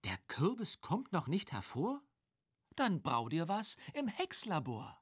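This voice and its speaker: A magical hint-giver for a children's witch game. The voice is breathy and husky and recites rhymes theatrically, swinging very widely in pitch. It is recorded dry. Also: wildly swooping pitch, takes it slowly, no echo, exaggerated voice. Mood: mysterious, instructive.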